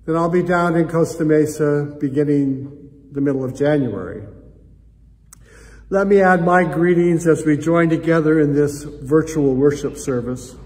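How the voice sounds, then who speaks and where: An older man, a pastor, giving measured, formal welcoming remarks to open a worship service, recorded in a church sanctuary. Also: on the high side, a little mellow. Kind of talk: scripted welcome and opening remarks. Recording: reverberant sanctuary with faint ambience.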